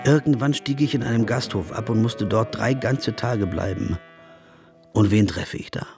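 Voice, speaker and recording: Man as radio play narrator, low-ish voice, calm literary storytelling, reflective, faint music behind. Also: moderate pace, lightly acted. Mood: measured, reflective. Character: observant, composed gentleman officer telling his travel memories.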